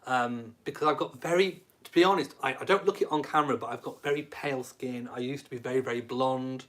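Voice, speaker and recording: A man in his late thirties chats through a casual live stream, reading viewers' comments aloud. His voice sits at an ordinary middle pitch, and his humour is cheeky and camp, often turned on himself. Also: fast talker, fairly bright tone. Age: late 30s. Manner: cheeky, camp, self-mocking humour with frequent laughs.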